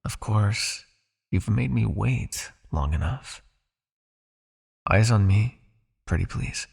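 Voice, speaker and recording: Creaky-voiced, possessive male vampire hypnotist, acted by a man. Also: on the low side, animated, takes it slowly, heavily acted. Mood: playful, coaxing. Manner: soft, coaxing, teasing, commanding. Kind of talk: intimate ASMR hypnosis roleplay.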